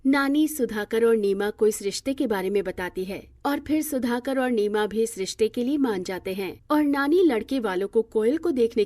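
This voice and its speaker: A woman's voice: on the high side, mellow, no echo, clean studio sound. A woman reading scripted narration for a bedtime story.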